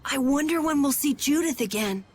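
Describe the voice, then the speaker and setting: A woman voicing an earnest, excitable young boy adventurer in a video game. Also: sits high, moderate pace. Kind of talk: animated video game dubbing.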